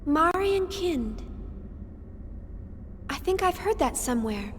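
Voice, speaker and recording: A woman voicing a curious, excitable young woman in a sci-fi video game. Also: extremely high pitch, takes it slowly, in a large hall, slight background noise, full character voice. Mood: wondering.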